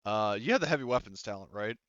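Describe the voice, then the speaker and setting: A man's voice: fairly low pitch, expressive, quick pace, a bit bright, smooth, no room sound, lo-fi sound. A man casually narrating and refereeing a tabletop roleplaying game as game master, with some swearing.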